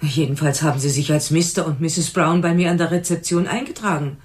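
Low-voiced, flustered motel receptionist played by an actress. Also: female voice, darkish tone, lightly acted. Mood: flustered, earnest. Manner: hesitant, flustered, halting recollection. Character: shaken female motel receptionist on night duty. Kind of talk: German radio crime drama acting.

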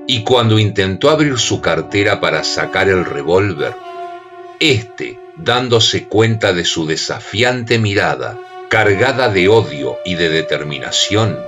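A man narrating a radio drama in a measured, dramatic storytelling manner. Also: low voice.

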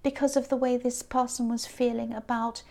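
A woman giving a tarot reading off the cuff, hesitant with many ums and restarts, her voice a little croaky. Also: fairly high pitch, level tone, moderate pace, darkish tone. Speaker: tarot reader. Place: indoors at a tabletop card spread.

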